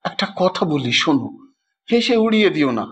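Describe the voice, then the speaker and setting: A man's voice: a little high, very animated, normal speed. A man voicing a distraught, stubbornly pious housewife, pleading and insistent, in a dramatised audio story. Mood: anxious, insistent. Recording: dull lo-fi track with background noise.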